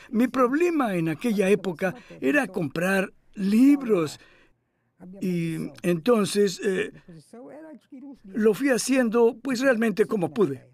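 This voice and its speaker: High-voiced elderly scholarly professor. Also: male voice, a little mellow. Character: elderly scholarly philosophy professor, modest, reflective. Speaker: dubbed interviewee. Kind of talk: voice-over dub of a documentary interview.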